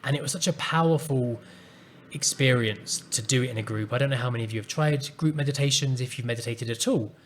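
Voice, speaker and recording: A man hosting a solo podcast, speaking calmly and earnestly as he reflects on a personal story. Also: mid-range voice, fast talker, a little hiss or hum. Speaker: podcast host and coach.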